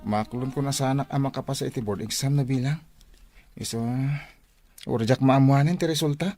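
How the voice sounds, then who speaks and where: A man's voice: mid-range voice, normal speed, darkish tone, faint music behind, lightly acted. An actor playing an earnest, polite young man about to become a teacher in a radio drama. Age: young adult. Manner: eager, emotional, polite.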